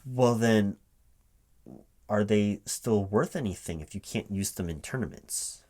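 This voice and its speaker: A man speaking as a recruiting manager in a one-sided ASMR interview roleplay, questioning in a halting, puzzled way. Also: lowish voice, darkish tone. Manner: halting, puzzled questioning, checking back with "right?". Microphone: close microphone with typing sounds behind. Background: computer keyboard typing.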